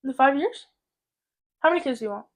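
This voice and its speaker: Podcast co-host, female, mid-teens, highish voice, candid and informal chat. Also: lively intonation, a little fast. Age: 16, teenager. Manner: candid, informal, heavy use of "like".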